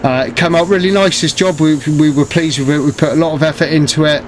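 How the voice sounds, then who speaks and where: Man, congested and croaky, slightly high voice, loose hesitant delivery, workshop air-conditioning hum. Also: fast. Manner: relaxed, hesitant, many fillers and restarts. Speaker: paint sprayer, channel host. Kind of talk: casual talk to camera.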